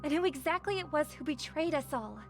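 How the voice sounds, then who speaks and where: A woman playing a resolute, idealistic young woman, speaking with measured, earnest solemnity as a disillusioned video game character. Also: high voice, animated, soft background music. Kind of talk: scripted video game voice acting.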